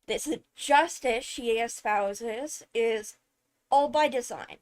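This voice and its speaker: Slow-paced higher-voiced woman commentating. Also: very noisy. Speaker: video host, game lore commentator. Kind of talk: casual analytical commentary over a video. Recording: background music behind the voice. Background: music.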